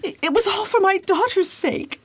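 A very high-voiced, distraught young mother, played by a woman in a period detective radio drama. She pleads and stammers, full of raw emotion. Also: moderate pace. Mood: ashamed, confessing.

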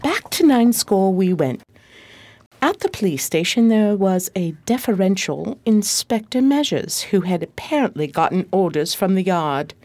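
Woman acting an earnest, very expressive detective's assistant. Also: mid-range voice, measured pace. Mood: narrating. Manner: expressive, formal storytelling. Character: earnest, easily astonished female detective's assistant and narrator. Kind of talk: period radio drama narration and dialogue.

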